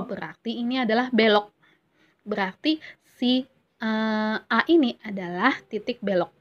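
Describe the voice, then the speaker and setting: A woman's voice: a little high, lo-fi sound. A woman math teacher, patiently walking through a worksheet and correcting herself as she goes. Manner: patient, self-correcting, stretched-out pauses. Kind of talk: explaining a worksheet lesson.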